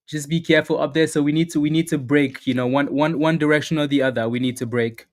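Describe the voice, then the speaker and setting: A man hosting a live stock trading stream, chatting casually and upbeat, repeating phrases and calling out viewers by name, a little hoarse and clearing his throat now and then. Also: on the high side, steady tone, brisk. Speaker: stock trading livestream host. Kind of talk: live market commentary with chat shout-outs.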